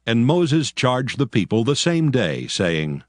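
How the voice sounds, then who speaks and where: A man's voice: medium pitch, very animated, takes it slowly, dry recording, clean studio sound, faint music behind. A man narrating dramatized scripture in a formal, solemn manner. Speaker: Bible narrator.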